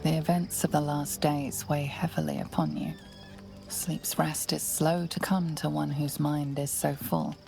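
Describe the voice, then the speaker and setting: A woman playing a brooding storyteller, narrating a fantasy game deliberately and portentously in a gravelly voice over music. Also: level tone, warm tone. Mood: ominous, hushed. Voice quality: low, gravelly. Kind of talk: scripted second-person game narration.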